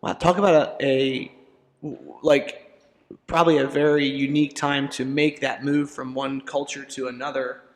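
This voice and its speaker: A man's voice: medium pitch, flat delivery, normal speed, big-room sound. A man co-hosting a faith podcast, upbeat and affirming as he guides the guest through questions. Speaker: podcast co-host. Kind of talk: casual faith podcast interviewing.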